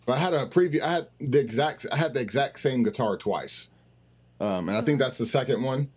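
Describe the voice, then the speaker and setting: A man's voice: medium pitch, expressive, brisk, a little mellow, slightly rough. A man of thirty-five, a podcast guest, chatting casually and rambling with fillers over a video call. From Tennessee, US. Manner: laid-back, rambling with fillers. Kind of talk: casual chat. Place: dark home room with blue lights.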